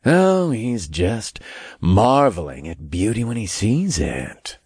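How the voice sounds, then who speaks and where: A man's voice: sits low, big pitch swings, measured pace, husky, dry recording, studio quality, exaggerated voice. Man acting a suave, sly demon. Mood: amused. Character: suave, scoffing male demon.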